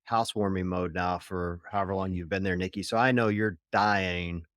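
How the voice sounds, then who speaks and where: A man's voice: low voice, fairly even intonation, normal speed, a bit bright, no echo, clean studio sound. A man co-hosting a podcast, teasing his co-host in easygoing casual chat.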